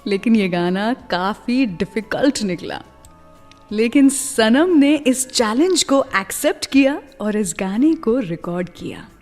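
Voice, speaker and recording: A woman's voice: a little high, animated, a little slow, darkish tone, big-room sound. A woman hosting a radio show, presenting and telling stories in a narrated style. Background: music.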